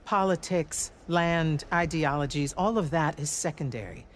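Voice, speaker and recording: An actor playing a commanding male battlemage mentor in a video game, speaking in a measured, grave, explanatory way. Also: on the high side, a little hiss or hum, lightly acted. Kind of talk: cinematic game dialogue.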